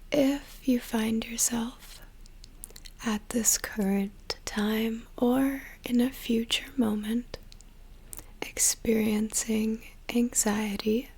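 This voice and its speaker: A young woman whispering a slow, soothing guided meditation as an ASMR creator, with a soft breathy voice. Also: a little high.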